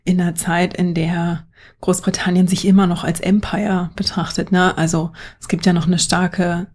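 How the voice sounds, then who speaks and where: A woman's voice: on the low side, flat delivery, normal speed, a little mellow. A woman co-hosting a casual history podcast, reflecting thoughtfully with frequent fillers. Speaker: podcast co-host.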